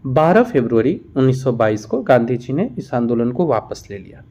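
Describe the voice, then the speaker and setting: A man's voice: medium pitch, big pitch swings, normal speed, warm tone. A man teaching an exam-prep lesson, reading out questions and explaining the answers. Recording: dull, muffled lo-fi sound.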